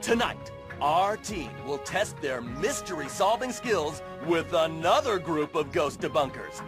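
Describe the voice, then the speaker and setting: A man playing a showy, excitable TV ghost show host in a cartoon game, announcing theatrically. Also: a little high, measured pace. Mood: grand, announcing. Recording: music behind the voice.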